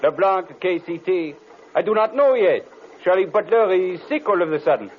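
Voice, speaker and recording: Chatty french copilot, french accent, kinda high voice, easygoing, tinny noisy old radio drama. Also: male voice, animated, moderate pace. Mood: cheerful.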